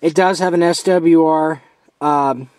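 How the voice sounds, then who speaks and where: A man giving a casual, hands-on product review as an amateur, informal and halting as he steps through features. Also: fairly high pitch, steady tone, a little mellow, no room sound.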